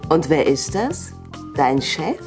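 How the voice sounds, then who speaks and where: A woman's voice: low-pitched, sing-song, slow, music in the background, full character voice. An actress playing a secretive, assured woman who coaxes others into a cult in a German audio drama. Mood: friendly, coaxing. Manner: coaxing, assured.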